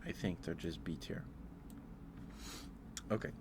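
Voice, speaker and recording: A soft-spoken man hosting a casual ASMR livestream, rambling and thinking out loud in a hesitant way. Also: low voice, lively intonation, unhurried, noisy background. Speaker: livestream host. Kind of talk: casual ASMR livestream chat, ranking aloud.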